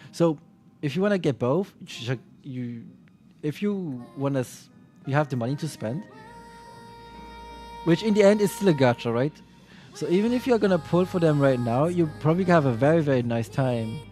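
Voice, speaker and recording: Rambling male streamer, slightly high voice. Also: darkish tone. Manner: rambling, with frequent restarts and self-corrections. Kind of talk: casual livestream chat about a game.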